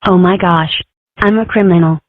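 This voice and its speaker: A woman's voice: on the low side, steady tone, moderate pace, no echo, lightly acted. A woman voicing a mischievous, dramatic young wolf girl in a comic dub, sarcastic and declamatory. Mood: dramatic worry. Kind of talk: amateur comic strip dub. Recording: muffled, phone-like lo-fi audio.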